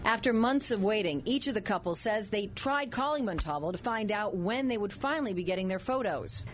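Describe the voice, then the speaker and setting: A woman's voice: medium pitch, lively intonation, brisk, highs cut off, a little hiss or hum. A middle-aged American woman narrating a scripted television consumer news report.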